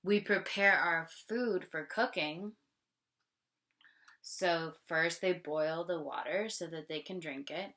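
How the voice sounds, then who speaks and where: Slow, bright-voiced woman reading to children. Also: fairly low pitch. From Canada. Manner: simple, clearly explained, addressed to children. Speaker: teacher reading aloud to pupils. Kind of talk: expressive picture-book read-aloud and explanation.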